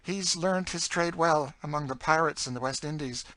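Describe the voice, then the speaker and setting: A man's voice: a little high, moderate pace, pushed and bright, dry recording, voice pushed up. A man voicing a bitter, despairing, world-weary enslaved woman in an audiobook, with a dry, emphatic delivery. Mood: haunted, ominous. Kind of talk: dramatised audiobook reading of a classic novel.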